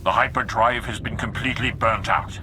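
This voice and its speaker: A man's voice: medium pitch, steady tone, a little fast, lightly acted. A man voicing a grave, stern Sith warlord in a space opera game, speaking solemnly and formally over faint ship noise. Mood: grim. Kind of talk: dramatic video game voice acting.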